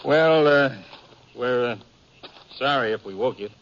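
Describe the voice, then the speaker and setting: A man's voice: mid-range voice, a little slow, fairly bright tone. An actor playing an eager young outlaw posing as a lawman in a 1950s radio western, with a folksy rural Western American accent and a hesitant, coaxing manner. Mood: explaining, polite. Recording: dull, noisy vintage radio recording.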